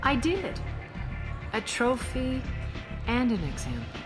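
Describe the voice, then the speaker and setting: An actress playing a ruthless, ancient alien crime boss who speaks in a cold, cynical, unhurried way. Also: female voice, fairly high pitch, huge pitch swings, full character voice. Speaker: ruler of the station. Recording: game cutscene with music behind.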